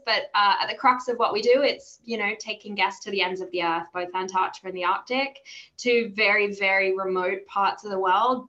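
A woman's voice: medium pitch, bright, forceful tone, lo-fi sound. An Australian woman in her thirties giving a conversational interview as an enthusiastic, emphatic guest. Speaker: interview guest, travel business development manager.

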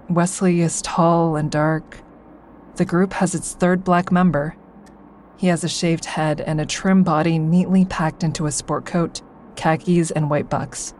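An actress playing an observant, guarded woman, narrating in the first person for an audio drama in a measured way. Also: lowish voice, darkish tone, slight background noise, natural voice.

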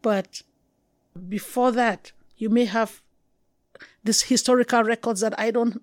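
A middle-aged woman from Cameroon with a Cameroonian accent, talking thoughtfully as an interview guest, halting and restarting, slowing down to stress words. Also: a little high, steady tone, no echo, studio quality. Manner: reflective, halting, with restarts and slow emphatic stretches. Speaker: guest poet. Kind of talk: conversational interview.

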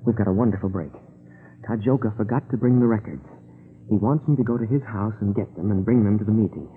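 An actor playing an earnest, deferential undercover informant in a 1940s radio drama, speaking politely and precisely. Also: male voice, on the low side, quick pace, close to an everyday voice. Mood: eager. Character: anxious, deferential male informant inside a Japanese society. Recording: noisy, tinny 1940s radio recording.

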